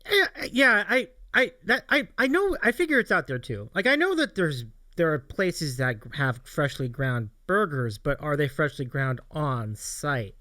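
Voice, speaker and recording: A man's voice: fairly high pitch, sing-song, a little slow, hardly any pauses, pushed and bright, no echo, studio quality. An American man hosting a casual livestream chat, chatty and appreciative, often repeating his phrases. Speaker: show host reading audience questions.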